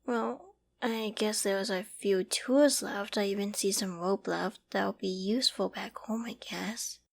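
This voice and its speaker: A woman acting a clingy, secretly obsessive young apocalypse survivor in a dramatic ASMR audio roleplay, with an emotional, halting, stammering delivery. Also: mid-range voice, gravelly. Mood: subdued, resigned. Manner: emotional, halting and stammering, often dropping to whispers and sighs.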